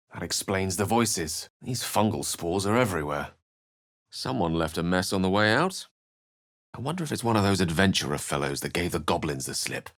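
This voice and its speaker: A curious, scholarly wizard with a deep, low voice. He comments wryly on traps, corpses and magic as he explores, articulate and understated. Game voice-line delivery. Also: fairly bright tone, heavily acted. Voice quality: dry wit, wry and articulate. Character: witty, curious male wizard adventurer, scholarly. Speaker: companion wizard character. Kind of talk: video game voice lines.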